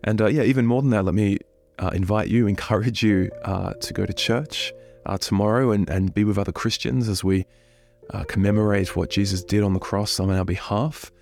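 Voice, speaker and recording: A deep-voiced man, hoarse with a head cold, gravelly and low as he leads liturgical prayer in an earnest, unhurried way. Soft instrumental music sits faintly behind him. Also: fairly even intonation. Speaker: church minister hosting a prayer podcast. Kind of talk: leading liturgical prayer and spoken reflection.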